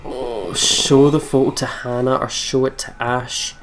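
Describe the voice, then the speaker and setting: A man's voice: mid-range voice, steady tone, unhurried, a little hiss or hum. A man, reading a game's text aloud as narration with some casual commentary. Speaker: let's player reading a visual novel aloud. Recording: music behind the voice. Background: game music.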